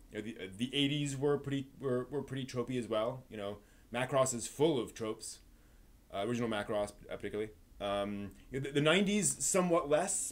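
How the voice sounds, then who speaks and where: A man hosting a live stream in casual, halting chat, circling back over his phrases. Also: medium pitch. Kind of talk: casual rambling chat about anime.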